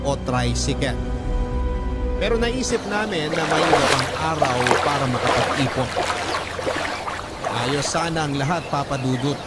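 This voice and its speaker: A troubled young prostitute tells a confessional life story for radio drama, read by a man. The voice sits a little high for a male one. Loud music plays underneath. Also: lightly acted. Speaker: narrator reading a letter sender's confession.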